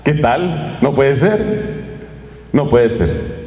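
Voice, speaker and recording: A middle-aged Colombian man preaching with a handheld microphone, animated and gesturing as he talks straight to his listeners in a hall. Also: mid-range voice, very slow. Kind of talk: lively sermon talk to an audience. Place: conference hall with curtained stage. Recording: echoey hall reverb.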